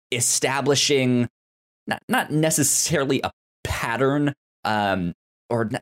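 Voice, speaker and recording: A man hosts a casual review podcast at an unhurried pace. His speech is halting, stammering over words and repeating them as he goes. The pitch sits in an ordinary middle range for a man. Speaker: podcast host.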